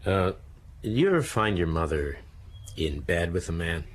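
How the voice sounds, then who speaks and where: A man's voice: low voice, lively intonation, normal speed. An actor playing a blunt police detective in a radio drama, pressing and sceptical as he questions. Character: blunt, probing 1950s American police detective.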